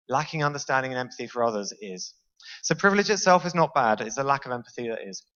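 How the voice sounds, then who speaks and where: A man's voice: medium pitch, a bit bright, muffled recording. A British man speaking from a stage in a large lecture hall, giving an easygoing, self-aware talk and putting questions to the audience. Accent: British English. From Britain. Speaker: conference speaker. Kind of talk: conference talk to a live audience.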